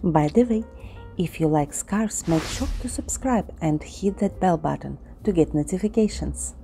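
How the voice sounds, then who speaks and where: Low, mellow-voiced woman tutorial host. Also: moderate pace, vocal fry. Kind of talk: tutorial voice-over with history. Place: indoor studio with painted backdrop. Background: soft background music.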